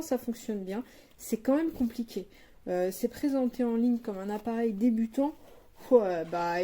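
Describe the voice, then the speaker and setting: Higher-pitched, mellow-voiced woman reviewing casually. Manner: frank, plain-spoken, colloquial. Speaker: product reviewer. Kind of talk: casual unscripted product review.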